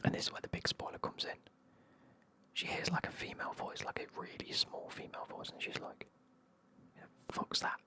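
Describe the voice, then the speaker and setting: A person with a British accent whispering a casual, chatty, sweary retelling of a book in a breathy ASMR style. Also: a little fast.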